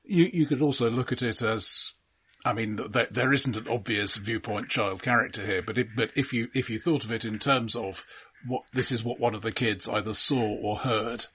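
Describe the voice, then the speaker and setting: A man's voice: medium pitch, moderate pace, fairly bright tone, breathy edge. A man in casual film discussion, offering analytical readings in a tentative way. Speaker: film discussion podcast co-host.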